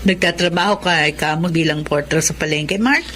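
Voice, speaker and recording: Earnest caring filipino wife, encouraging, urging tone, drama style, bit of background noise. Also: female voice, deep voice, normal speed, a bit bright.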